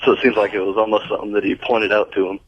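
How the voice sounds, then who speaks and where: Low-voiced man on a dull phone line. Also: flat delivery, rapid-fire, dry recording. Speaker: caller to a radio show. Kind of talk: casual argumentative call-in chat. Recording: dull, band-limited phone call.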